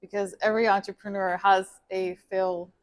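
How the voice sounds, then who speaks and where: A woman's voice: medium pitch, little pitch movement, moderate pace. A middle-aged woman from Cuba who grew up in Texas, a guest telling her story in a casual interview, chatty, with many ums and restarts. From Cuba, raised in Texas. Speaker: guest, media company founder.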